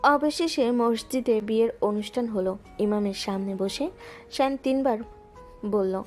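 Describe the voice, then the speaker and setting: Mellow woman narrating a love story. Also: fairly high pitch, a little slow. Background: soft background music.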